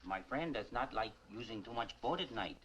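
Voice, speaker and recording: An actor playing a Mexican waterfront local in an old film, a native Spanish speaker with a Mexican accent. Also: male voice, medium pitch, expressive, moderate pace, a bit bright, slight background noise, close to an everyday voice. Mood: businesslike. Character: animated Mexican waterfront local, wary but excitable. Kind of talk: 1950s film acting.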